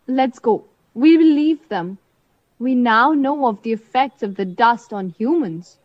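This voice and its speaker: Woman acting a high-voiced, commanding covert leader. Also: expressive, muffled recording. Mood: assured. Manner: instructing, deliberate, commanding. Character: confident female leader of a covert night exercise, instructing her partner. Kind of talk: audio drama acting.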